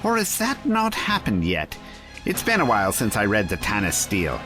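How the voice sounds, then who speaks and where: An actor playing an eccentric, bookish time-traveller in an audio drama, witty and defiant, with a wry, articulate way of talking. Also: male voice, on the low side, huge pitch swings, moderate pace, music in the background. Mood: excited, rambling.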